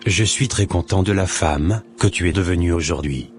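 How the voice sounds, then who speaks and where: Deep-voiced, earnest devout husband, acted by a man. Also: moderate pace, a little hiss or hum, natural voice. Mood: grateful, contrite.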